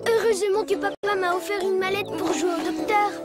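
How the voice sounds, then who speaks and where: Very high-pitched little boy cartoon voice. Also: steady tone, brisk. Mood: bossy, concerned. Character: young boy, pleading, bossy, eager to help. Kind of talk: French children's cartoon dub. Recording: music bed behind dialogue.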